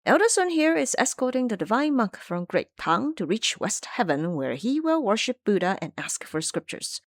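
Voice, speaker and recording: Woman putting on a menacing, boastful monkey warrior, animated delivery. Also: a little high, moderate pace, no room sound, clean studio sound, voice pushed up. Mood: threatening, boastful. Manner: menacing, commanding, threatening. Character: aggressive, boastful monkey warrior monk with iron rod.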